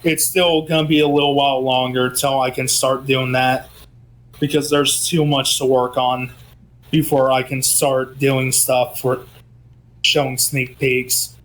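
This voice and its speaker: A man co-hosting a casual gaming news chat, who says he is losing his voice and talks in an unhurried way with frequent ums. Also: medium pitch, flat delivery, fairly bright tone, poor audio. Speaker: co-host, gaming news presenter.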